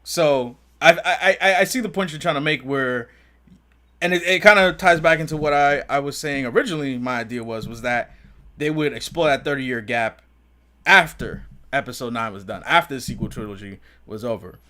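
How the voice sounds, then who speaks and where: A man's voice: medium pitch, moderate pace, pushed and bright. Casual, slangy man talking fandom. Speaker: podcast co-host. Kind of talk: casual fan discussion and speculation.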